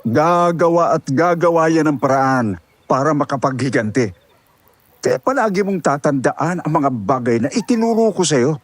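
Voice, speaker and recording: An old grandfather acted by a man counsels with earnest, protective caution in an audio horror drama. His voice sits a little high for a man, and the sound is dry and close. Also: animated, moderate pace, no echo, close to an everyday voice. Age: older.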